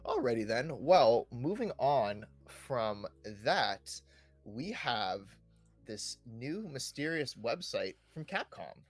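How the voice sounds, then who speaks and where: A man's voice: mid-range voice, big pitch swings, slow pace, faint music behind. Man chatting on a gaming podcast. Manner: ends statements with checking tag questions. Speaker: podcast host. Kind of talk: casual gaming podcast chat.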